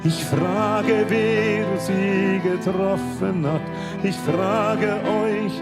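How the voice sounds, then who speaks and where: A woman's voice: medium pitch, extremely slow. A woman reciting a poem solemnly, repeating lines. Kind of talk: slow poetry recitation. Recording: recitation over loud music. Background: sad instrumental music.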